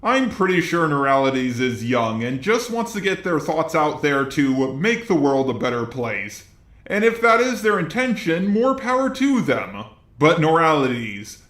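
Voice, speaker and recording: A man's voice: on the high side, a bit bright, roomy sound. A man delivering scripted video commentary as a narrator.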